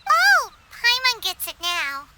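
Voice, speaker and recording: 아주 높고 통통 튀는 작은 요정 동반자. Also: female voice, extremely high pitch, wildly swooping pitch, takes it slowly, background noise, exaggerated voice. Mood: curious. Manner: speaks of herself in third person, eager. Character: small floating female companion creature, chirpy. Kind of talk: game cutscene voice acting.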